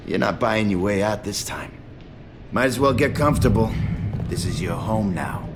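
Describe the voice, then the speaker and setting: A man's voice: lowish voice, lively intonation, a little slow. An actor playing a cruel, taunting male asylum orderly in a video game. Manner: taunting, gruff. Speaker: game character. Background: game score music.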